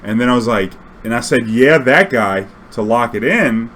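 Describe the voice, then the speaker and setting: A man casually telling an anecdote in a slangy, swearing, animated way, acting out bits of dialogue. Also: mid-range voice, normal speed, a little hiss or hum. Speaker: storyteller recounting his own tournament games.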